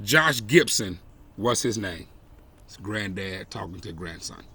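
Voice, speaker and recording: A man reading a children's story aloud expressively, with deliberate, emphatic phrasing and dramatic pauses. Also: on the low side, lively intonation, sharp tone, a little hiss or hum. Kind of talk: expressive read-aloud storytelling with brief asides.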